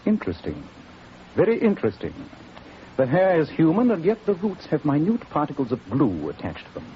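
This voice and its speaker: Keen British detective, old radio recording. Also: male voice, a little high, huge pitch swings, a little fast, lo-fi sound, a little hiss or hum. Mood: keen, probing. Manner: precise, assured, formal. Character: famous male detective, keen, confident and courteous. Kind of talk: 1940s radio drama acting.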